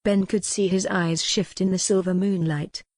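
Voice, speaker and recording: A woman's voice: fairly low pitch, fairly even intonation, normal speed, a little mellow, dry recording. Dry, close-sounding woman audiobook narrator. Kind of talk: scripted dramatic fiction narration.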